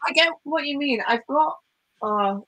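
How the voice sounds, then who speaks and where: A woman's voice: sits high, lively intonation, measured pace, sharp tone, dry recording, rough recording. A young woman from Wales with a light Welsh accent, Welsh her first language, chatting casually on a live stream with ums and giggles. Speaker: host.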